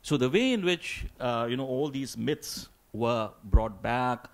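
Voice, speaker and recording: Halting man giving a deliberate academic answer. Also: mid-range voice, lively intonation. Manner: halting, deliberate, repeats words. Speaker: symposium panelist.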